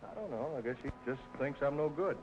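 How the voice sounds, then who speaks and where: Actor as fast-talking jealous noir tough guy. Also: medium pitch, expressive, lightly acted. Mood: sullen. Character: Danny, jealous, irritable tough young man in noir drama. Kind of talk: old radio film noir acting. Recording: muffled old radio recording, faint noise.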